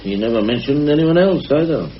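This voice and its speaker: An actor in an old radio drama playing a reserved village police sergeant, respectful, guarded and measured. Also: male voice, medium pitch, expressive, natural voice. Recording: muffled, faintly noisy old radio recording.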